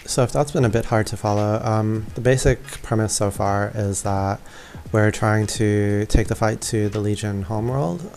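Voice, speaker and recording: A man's voice: fairly low pitch, moderate pace, few pauses, darkish tone. A man giving a casual, informal gameplay walkthrough, thinking aloud hesitantly as he plays. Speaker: gaming video creator. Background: faint game music.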